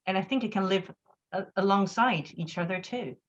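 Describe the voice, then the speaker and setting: A woman's voice: medium pitch, moderate pace. A woman with a Dutch accent, a native Dutch speaker, asking a panel a moral question in a direct, earnest way over a video call. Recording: dull lo-fi audio.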